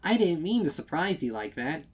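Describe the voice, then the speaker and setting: A man's voice: fairly high pitch, big pitch swings, normal speed, slightly rough, voice pushed up. A man voicing an eager young female cartoon pony baker, bubbly and over-eager to please, in a storybook reading. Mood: bright, apologetic.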